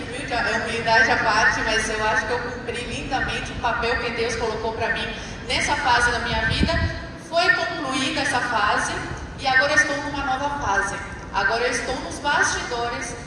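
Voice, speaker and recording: A woman's voice: a little high, normal speed. Woman, Cuban accent, impassioned speech, handheld mic, distant noisy hall recording. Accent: Cuban Spanish. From Cuba. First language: Spanish. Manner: emphatic, impassioned testimony. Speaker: panel speaker. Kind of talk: public speech at an event. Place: large stage hall. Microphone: handheld mic, filmed from far off. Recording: echoey hall from far off, noisy.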